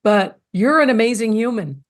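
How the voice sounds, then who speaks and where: A woman's voice: fairly high pitch, lively intonation, moderate pace. A woman hosting a podcast, interviewing in a conversational, effusive manner. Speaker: podcast host.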